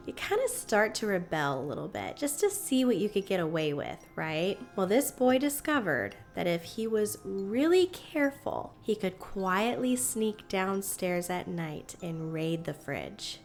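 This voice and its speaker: A hoarse woman in her thirties, telling a creepy story to her viewers in a chatty, animated way. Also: mid-range voice. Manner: chatty, animated, reacts with little laughs. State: hoarse, recovering from illness. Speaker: spooky history YouTuber. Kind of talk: casual storytelling to camera.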